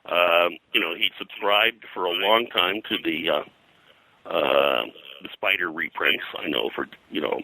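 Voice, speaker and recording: Deep-voiced older man, very bright and forceful. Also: no echo, rough recording. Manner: halting, detailed and explanatory. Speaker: interview guest, pop culture historian. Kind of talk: conversational interview, recollection and commentary.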